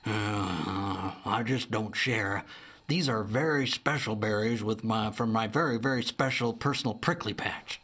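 A man's voice: lowish voice, unhurried, fairly bright tone, breathy edge. A man voicing a miserly, grumbling old male bear in a storybook reading. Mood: grudging.

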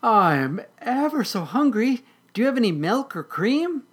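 A storyteller voicing a small orphaned kitten, sweet and timid but insistent, with mewing, pleading, halting speech. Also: mid-range voice, very animated, breathy edge, voice pushed up.

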